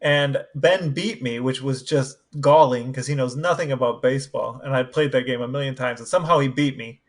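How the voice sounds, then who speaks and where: A man's voice: medium pitch, a little fast. A man co-hosting a podcast, chatting casually and anecdotally. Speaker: podcast co-host.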